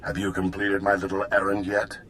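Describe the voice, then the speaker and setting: Brief: a disdainful game crime boss, lowish voice, weary and impatient. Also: male voice, monotone, fairly quick, fairly bright tone, a little hiss or hum. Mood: scornful. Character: smug scornful mercenary boss over a comm. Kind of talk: video game cutscene acting.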